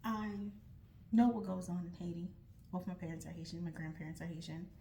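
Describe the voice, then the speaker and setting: Young woman, American, earnest vlog talk, reflective, lowish voice, faint rumble behind. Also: brisk, warm tone. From United States. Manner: earnest, reflective, with frequent restarts and "like". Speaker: vlogger starting a nonprofit. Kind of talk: earnest personal talk to camera.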